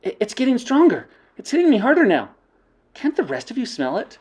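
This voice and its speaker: Woman putting on a shrill, shrieking witch for a storybook, high-pitched and urgent. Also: huge pitch swings, brisk, a little mellow, husky, exaggerated voice. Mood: alarmed. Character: sharp-nosed shrieking female witch.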